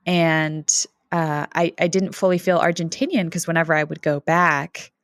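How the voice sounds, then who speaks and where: A woman's voice: deep voice, moderate pace, fairly bright tone, dry recording, clean studio sound. A woman in her thirties, originally from Canada, speaking as a podcast guest in a reflective, thoughtful way with frequent fillers and light laughs. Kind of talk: conversational interview.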